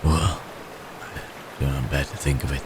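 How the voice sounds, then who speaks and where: Male actor as wounded werebeast in human form, ASMR drama. Extremely deep, gentle, troubled, sighing, old-fashioned wording. Clear rain-like ambience behind. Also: steady tone, noisy background. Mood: brooding, troubled. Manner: halting, formal old-fashioned phrasing, sighing. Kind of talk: ASMR roleplay acting.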